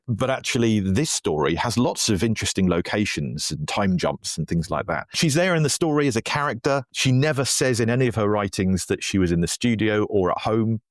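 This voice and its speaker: Enthusiastic male interviewee, low-pitched, conversational, recorded dry. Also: no room sound. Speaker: podcast guest, audio drama writer.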